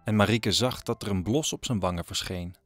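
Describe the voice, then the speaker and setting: A man's voice: fairly low pitch, brisk. Man narrating over prominent music. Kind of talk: scripted storytelling narration for an audio drama.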